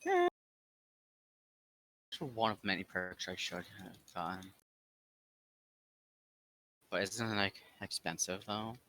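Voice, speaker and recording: A man's voice: deep voice, expressive, slow, pauses often, pushed and bright. A man chatting casually while playing a game, heard over a noisy, distant voice chat microphone. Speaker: game player.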